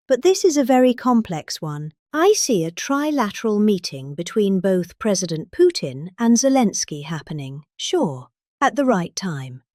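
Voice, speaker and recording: A woman's voice: mid-range voice, darkish tone, no echo, studio quality. British woman reading with dramatic, swooping emphasis. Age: middle-aged. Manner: theatrical, exaggerated emphasis. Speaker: reader of a speech. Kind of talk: dramatic reading of a political speech.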